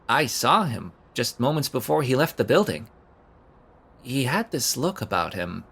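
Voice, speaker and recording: Actor as an awkward, overeager young man, swooping pitch. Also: fairly bright tone. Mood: casual, speculative. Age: young adult. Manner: hesitant, stammering, rambling. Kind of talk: audio drama acting.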